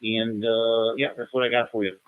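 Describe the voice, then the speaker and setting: A man's voice: medium pitch, little pitch movement, moderate pace, smooth, no echo. A man checking in informally to a net over two-way radio. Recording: tinny, dry two-way radio transmission.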